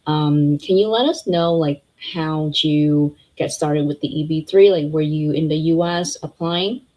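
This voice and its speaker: A woman's voice: sits low, unhurried, mellow, rough recording. A woman hosting a live interview, asking questions in a friendly way with many fillers. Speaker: host.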